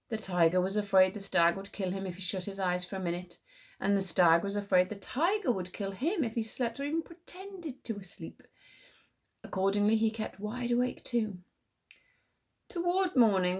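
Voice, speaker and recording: A woman reading a story aloud as a teacher would, in an expressive storybook narration. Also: medium pitch.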